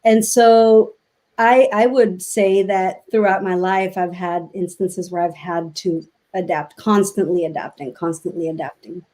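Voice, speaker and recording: A woman from Mexico, a native Spanish speaker, speaking as a guest on a video call and talking reflectively with many pauses and fillers. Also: lowish voice, fairly even intonation, darkish tone. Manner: reflective, halting with many ums. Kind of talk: casual interview chat.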